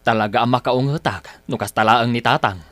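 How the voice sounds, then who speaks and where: An actor playing a calm, dutiful adult son in a radio drama, earnest and reassuring. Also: male voice, medium pitch, fairly quick, natural voice. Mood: concerned. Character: calm, dutiful adult son, elder brother, patient with father.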